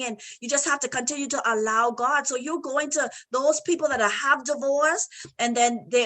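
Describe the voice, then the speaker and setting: A woman's voice: high-pitched, sharp tone. A woman marriage coach in a faith-based conversation, emphatic and encouraging, repeating her phrases.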